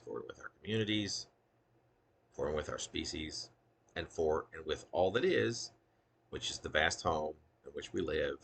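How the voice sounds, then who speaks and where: Male poet, deep and creaky, earnest deliberate delivery of his own verse with offhand asides. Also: a bit bright. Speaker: poet reading own work. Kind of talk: spoken poetry reading with casual asides.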